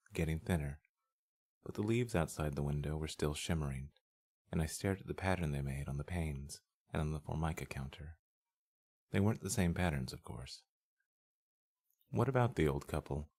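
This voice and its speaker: A man's voice: very deep voice, moderate pace, a little mellow. A man working as a voice-over artist, reading scripted literary narration.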